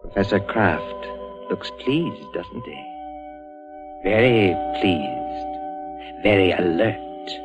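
A man's voice: low-pitched, expressive, drawn-out words, pauses often, heavily acted. A man playing the ominous narrator of a 1940s radio suspense tale, with music behind him. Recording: muffled vintage 1940s radio recording. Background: loud dramatic music.

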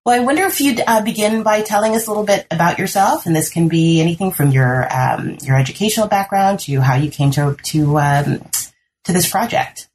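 A woman's voice: on the low side, brisk, darkish tone, a little hiss or hum. A woman hosting a podcast interview, asking long, rambling questions full of fillers. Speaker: podcast host.

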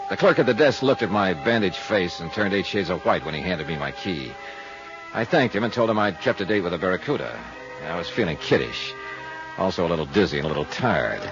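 An actor playing a laconic, world-weary investigator in 1950s radio drama, dry, clipped and matter-of-fact. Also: male voice, deep voice, lively intonation, brisk, over music. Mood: wry narrating. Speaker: lead detective and narrator. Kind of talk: 1950s hard-boiled radio acting and first-person narration. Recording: dull old radio recording.

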